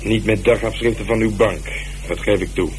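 An actor playing a methodical police detective in a radio drama, talking in a measured, colloquial, dryly ironic way. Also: male voice, fairly low pitch, fairly even intonation, close to an everyday voice. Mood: coolly insistent. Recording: noisy radio play recording, heavy background noise.

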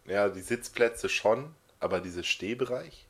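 A man with a deep voice co-hosting a podcast in casual banter with dry, deadpan humour. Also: measured pace. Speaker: podcast co-host.

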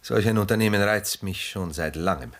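An actor playing an urbane, ironic, detached middle-aged gentleman in a mid-century German radio play, speaking in a polished, wry, measured way. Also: on the low side, natural voice. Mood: fascinated.

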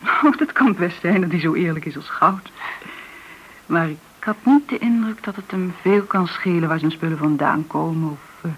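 A woman acting in a radio play as a businessman's confiding friend, tentative and at times soft-spoken. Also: lowish voice, normal speed, close to an everyday voice. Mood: uneasy, confiding. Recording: dull, noisy old radio recording.

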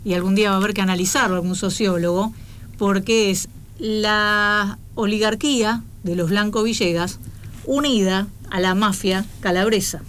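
A woman's voice: mid-range voice, fairly even intonation, moderate pace, a bit bright, slight background noise. A woman with an Argentine accent co-hosting a radio show in casual conversation, chatty and often restarting her phrases. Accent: Argentine Spanish. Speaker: radio co-host.

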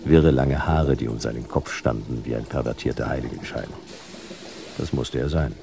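Noir private eye, bottomless bass, creaky, laconic, sardonic, radio play narration. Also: male voice, moderate pace, dark tone, slight background noise. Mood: wry, observant. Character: hard-boiled sci-fi private detective narrating, dry, wry.

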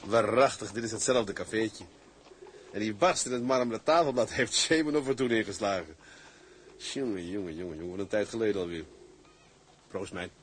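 An actor playing a hearty, seasoned tugboat captain in a Dutch period drama, with a brisk manner. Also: male voice, lowish voice, animated, slight background noise, lightly acted. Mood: nostalgic.

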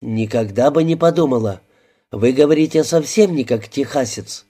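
Male reader doing a composed, politely teasing young woman in a storybook; mellow, dry irony. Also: medium pitch, animated, normal speed, breathy edge. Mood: wry.

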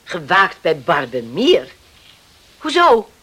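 A woman's voice: on the low side, wildly swooping pitch, slow, fairly bright tone, breathy edge, heavily acted. An actress playing a caring, practical island mother in a radio drama, speaking in a homely, placating way. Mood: shocked concern.

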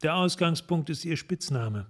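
An actor playing a hard-boiled police sergeant in a German radio crime drama, speaking tersely and directly. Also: male voice, on the high side, expressive, normal speed. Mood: coolly probing. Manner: terse, direct, clipped sentences.

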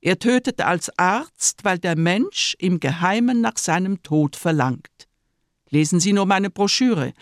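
A woman's voice: lowish voice, lively intonation, measured pace, no room sound, clean studio sound. A woman playing a pious, cheerfully convinced, self-righteous middle-aged nursing sister in a radio drama. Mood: cheerful preaching. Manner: beaming, serenely self-righteous.

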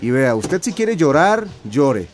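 A man's voice: on the high side, sing-song, takes it slowly, a little hiss or hum. A man acting a vain, callous TV news reporter in a radio drama, bossy, glib and pompous. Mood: calculating.

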